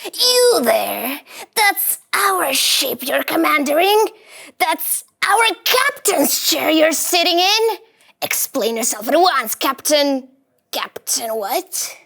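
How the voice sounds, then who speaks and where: A woman performs an imperious female officer in a sci-fi comedy read. Her voice is very high and sharp, with a gravelly edge. She is slow, indignant and demanding. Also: sing-song, exaggerated voice. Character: outraged, demanding female starship officer, shrill adversary. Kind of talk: table read of comic sci-fi game script.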